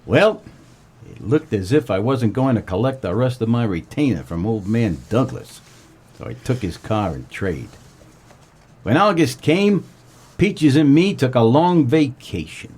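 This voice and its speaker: An actor playing a wry, world-weary 1940s private eye, narrating a comedic noir radio play. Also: male voice, medium pitch, unhurried, husky. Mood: wistful. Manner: wry, deadpan, colloquial.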